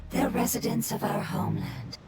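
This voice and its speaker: A woman voicing a calm, compassionate nature spirit in a game, measured, solemn and explanatory. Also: very noisy, natural voice. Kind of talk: dramatic game voice acting. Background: loud game music.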